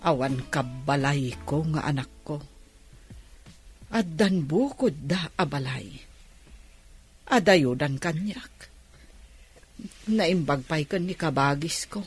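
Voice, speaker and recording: A woman's voice: low-pitched, drawn-out words, long pauses, soft background music. An actress with a Filipino accent playing a lonely older village woman who puts on a brave face in a radio drama. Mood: wistful, sighing.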